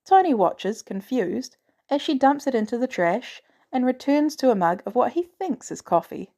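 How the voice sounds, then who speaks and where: A woman's voice: on the high side, expressive, normal speed, warm tone. A woman reading a scripted story aloud as a narrator. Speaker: audiobook narrator of fan fiction.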